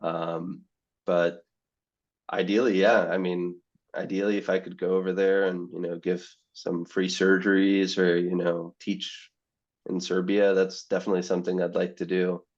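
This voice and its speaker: A man's voice: sits low, level tone, moderate pace, highs cut off. A young man, a medical student, answering interview questions in a rambling way, full of "you know" and restarts. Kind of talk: casual podcast interview.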